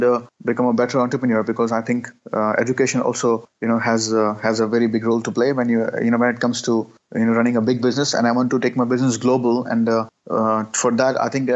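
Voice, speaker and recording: A man in his thirties from Pakistan, a guest answering interview questions with many pauses, fillers and restarts. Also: mid-range voice. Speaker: guest, entrepreneur. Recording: dull lo-fi sound.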